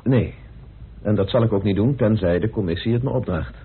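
A measured, principled man in a radio drama. He speaks deliberately and firmly in a very husky voice. The sound is narrow, like a telephone. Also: fairly low pitch. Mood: matter-of-fact. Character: measured, probing man laying out evidence, firm and principled. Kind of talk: radio play acting. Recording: muffled, narrow old radio recording.

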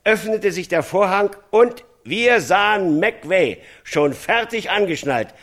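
An actor playing a newspaper reporter who gives a careful, solemn eyewitness account in a radio drama. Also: male voice, high-pitched, animated, slow pace, fairly bright tone, exaggerated voice.

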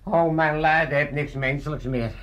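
A man's voice: on the high side, measured pace, a bit bright, highs cut off. An old father with a raised voice, badly hungover and full of self-pity. He groans and complains with grand theatrical flourish, in the manner of a comic radio play. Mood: groaning, suffering. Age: older. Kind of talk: comic radio drama acting.

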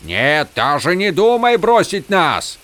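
A man's voice: on the high side, sing-song, slow pace, voice pushed up, heavily acted. A man voicing a desperate, defiant warrior prince in a fantasy audiobook, crying out to a god in impassioned demands and pleas. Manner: shouting, pleading, impassioned.

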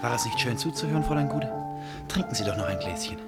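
An actor playing an intense, restless stranger in a radio play, speaking in an urgent, pleading way and often repeating himself. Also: male voice, medium pitch, expressive, quick pace, over loud music, natural voice. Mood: eager, ingratiating. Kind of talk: German radio play acting.

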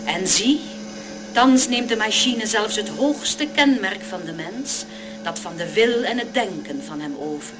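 A woman's voice: mid-range voice, animated, measured pace, lightly acted. A woman playing a solemn, prophetic radio play narrator, declaiming in grave biblical phrasing. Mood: solemn, foreboding. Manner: declamatory, portentous.

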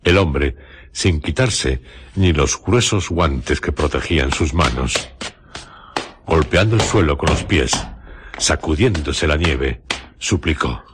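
A man narrating a radio drama as a solemn storyteller, in deliberate, carefully separated literary phrases. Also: a bass voice, animated, background noise, exaggerated voice. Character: solemn, measured male storyteller.

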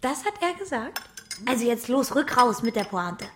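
A greedy, scheming younger woman in a radio crime play. Her delivery is very animated, flippant and goading, over some background noise. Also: on the high side, moderate pace. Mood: teasing, curious. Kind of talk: German radio crime drama acting.

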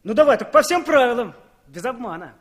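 An actor playing a brash, broke young dandy in a 1960s Soviet radio play, breezy, slangy and wheedling. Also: male voice, very high voice, lively intonation, fairly quick, fairly bright tone. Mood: cheeky, wheedling.

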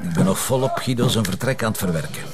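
A man's voice: lowish voice, expressive, fast, a loud crowd, close to an everyday voice. A man playing a stubborn, sarcastic police detective in a crime drama, with a Flemish Belgian accent and a confrontational, cocky manner. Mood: indignant. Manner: sardonic, confrontational. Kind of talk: naturalistic TV crime drama acting.

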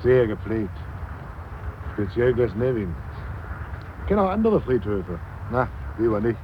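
Male mourner actor, radio drama: low, blunt, chatty and opinionated, natural delivery, muffled sound. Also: close to an everyday voice. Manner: chatty, blunt, breaking off sentences. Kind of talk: naturalistic radio play dialogue. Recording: dull 1970s radio, faint outdoor noise.

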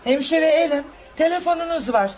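A woman's voice: sits high, expressive, normal speed, a little mellow, lo-fi sound. An actress playing a courteous, busy female reception nurse in a radio play. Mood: polite, busy. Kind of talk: Turkish radio play acting.

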